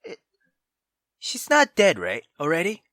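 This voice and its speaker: Man, casual game reactions, high voice with big swings, halting, repetitive, sweary. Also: wildly swooping pitch, fairly bright tone, no room sound, studio quality. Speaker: gaming video host.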